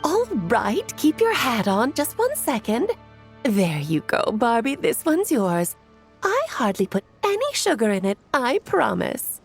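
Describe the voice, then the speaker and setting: Game witch: bubbly party lover, high and animated, dramatic and teasing, music underneath. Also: measured pace, heavily acted. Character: playful, party-loving witch, breezy and theatrical. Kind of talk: video game voice acting. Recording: music behind the voice.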